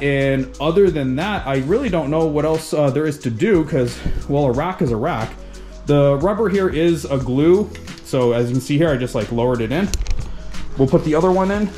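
A man from Canada casually unboxing and installing gear in his home gym, chatty and relaxed, with small restarts as he works. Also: a little high. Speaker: fitness vlogger.

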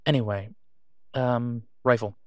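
A man's voice: medium pitch, steady tone, unhurried, a little mellow, clear, resonant tone, no echo, close to an everyday voice. Man playing a reluctant werewolf alpha, dry close sound. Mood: businesslike. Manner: hesitant, casual, understated. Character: reluctant, awkward male werewolf pack alpha. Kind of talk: naturalistic audio roleplay acting.

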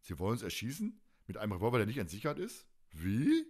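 A man's voice: lowish voice, huge pitch swings, fast talker, darkish tone. Man hosting a casual fan podcast. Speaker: podcast host.

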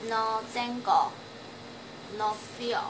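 A Taiwanese woman in her twenties, a native Chinese speaker and language student, answering questions slowly and carefully in a home video from a small bedroom. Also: a little high, fairly even intonation, reverberant, background noise. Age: 20s. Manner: slow, careful learner's speech with halting restarts. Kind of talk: self-recorded homework video interview.

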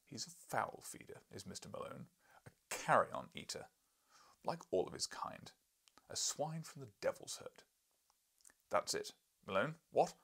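A man's voice: mid-range voice, very animated, unhurried, gravelly, long pauses, fairly bright tone, slightly rough, heavily acted. A man voicing a huge, domineering professor in an audiobook, pompous, mocking and emphatic. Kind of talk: audiobook character voice.

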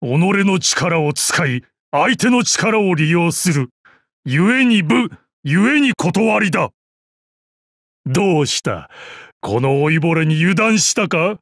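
A man playing a calm, deadly old martial arts master and assassin in a video game, with a weathered, measured, wry delivery. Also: on the high side, no room sound, clean studio sound, full character voice. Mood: fierce, commanding. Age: older. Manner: measured, wry, explosive battle cries. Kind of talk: video game battle voice lines.

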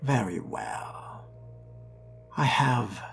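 A man role-playing a courteous, dry-witted fledgling vampire in a video game, talking in a polite, breathy murmur. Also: mid-range voice, animated, very slow, slight background noise, heavily acted. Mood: dry, wry.

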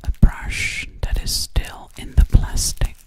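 A young woman performs ASMR personal attention in a soft, breathy whisper. She speaks extremely slowly and gently, very close to the microphone, soothingly repeating her words. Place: small indoor room. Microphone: microphone right at the mouth.

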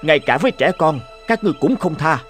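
A man acts as a stern conquering emperor in an animated folk tale. He declaims imperiously and with pride, his pitch swinging widely. Soft music plays behind him. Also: fast, lightly acted. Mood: indignant. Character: proud, stern male conquering emperor, commanding and curious. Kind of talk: dubbed cartoon dialogue.

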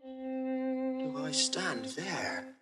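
A man playing an earnest young gentleman, a long-lost twin, in heartfelt, measured classical Shakespearean acting. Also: very high voice, big pitch swings, music in the background, full character voice. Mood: wondering.

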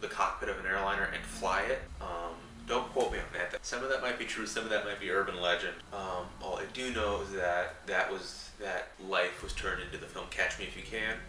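A young man with a low voice talks to camera in a rambling, casual way, his voice a little raspy from a cold. He sits a few feet from the mic in a small room at night, so the sound is roomy and distant. A faint buzz hums behind him. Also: fairly quick, hardly any pauses, sharp tone, slight background noise. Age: young adult. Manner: rambling, many fillers and restarts. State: recovering from a cold, slightly raspy. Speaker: vlogger. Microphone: camera a few feet away.

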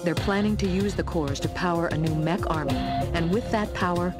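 Woman acting secret agent, low-pitched, grave, earnest, game cutscene storytelling, loud music. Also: fairly quick, close to an everyday voice. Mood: solemn, earnest. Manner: earnest, solemn, expository. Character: grave female secret agent revealing a conspiracy.

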